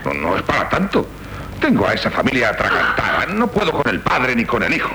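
A man's voice: medium pitch, wildly swooping pitch, a little fast, pushed and bright. An actor with a Castilian Spanish accent playing a proud, hot-tempered nobleman and father, commanding and theatrical in 1970s radio drama style. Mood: scornful, dismissive. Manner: haughty, blustering, commanding. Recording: noisy old radio recording.

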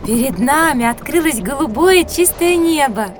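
A woman voicing a bright, helpful, curious young schoolgirl with a childlike, earnest way of talking in an educational audio drama. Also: high-pitched, animated, moderate pace, music in the background. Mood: wondering.

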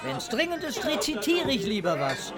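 A young male student in a radio play, irritated and ironic. His voice is high and jumps around in pitch as he mutters incredulous asides. People can be heard clearly behind him. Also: huge pitch swings, moderate pace, a loud crowd, full character voice. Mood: ironic, resigned. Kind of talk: German radio play acting.

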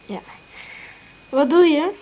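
A woman's voice: high voice, slow, muffled recording. A female performer playing a young boy in a Dutch radio play, earnest, matter-of-fact and caring.

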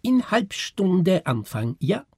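A man voicing an Egyptian fairground barker who drones out halting, broken sales patter. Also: high-pitched, big pitch swings, a little mellow, slightly rough, no room sound, studio quality, voice pushed up, heavily acted. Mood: droning, eager. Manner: droning, halting broken phrases.

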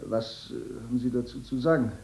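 Actor as a mellow, patronising court official. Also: male voice, medium pitch, steady tone, brisk, vocal fry, close to an everyday voice. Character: bureaucratic male court director, evasive and condescending. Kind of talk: 1950s German radio drama acting. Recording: echoey room in old radio production.

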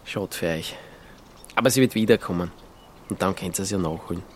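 A man's voice: deep voice, a little fast, slight background noise, lightly acted. An actor playing a folksy, nosy Alpine countryman with an Austrian accent, teasing and direct, in an audio drama. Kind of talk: audio drama dialogue acting.

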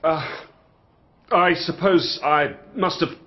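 An actor with a British accent playing an uneasy, evasive gentleman in the witness box of a radio drama, hesitant, guarded and formal. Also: a little high, slow pace. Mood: nervous, guarded. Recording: dull, muffled radio drama recording.

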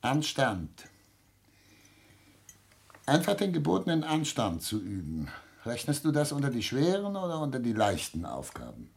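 An actor playing an aging, sardonic family patriarch in a German radio play, speaking with formal, probing irony. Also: male voice, medium pitch, huge pitch swings, moderate pace, vocal fry, pauses often. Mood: cutting, formal. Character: imperious aging patriarch, sardonic privy councillor and father.

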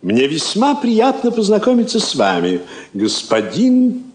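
A man playing an effusive, courtly foreign counsellor in a Soviet radio drama, with a florid, drawn-out, ceremonious manner. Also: high voice, huge pitch swings, a little mellow, exaggerated voice. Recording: roomy, echoing studio sound.

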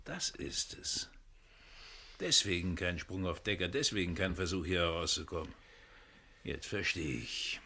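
An actor playing an excitable, flippant, impatient sidekick in a radio crime play. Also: male voice, low voice, measured pace, a little hiss or hum, full character voice. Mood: dawning realisation. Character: excitable, impatient sidekick named Charlie in a thriller. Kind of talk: 1970s German radio play acting.

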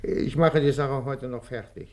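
A senior police inspector acted by a man in a radio drama. He has a medium-pitched voice and hands out orders and opinions with offhand, self-assured authority. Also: steady tone, normal speed, a little mellow, lightly acted. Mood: instructing, offhand. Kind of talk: German radio crime drama dialogue.

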